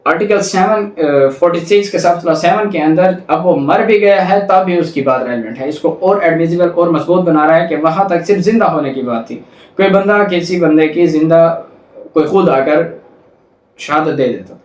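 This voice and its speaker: A man's voice: on the high side, moderate pace. A man with a Pakistani accent giving a methodical, repetitive explanatory lecture, reading clauses aloud and explaining them step by step. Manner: methodical, repetitive step-by-step explaining, switching between Urdu and English. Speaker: law lecturer. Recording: echoey room, distant microphone.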